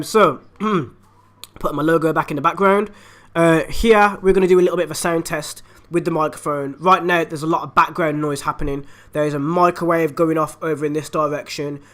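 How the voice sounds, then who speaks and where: A man with a raspy voice, hoarse from a flu, chatting upbeat and direct to viewers as he reviews a microphone at home on a desktop USB mic. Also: fairly high pitch, fairly bright tone. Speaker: YouTube channel host. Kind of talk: casual product review and unboxing. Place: at home, indoors.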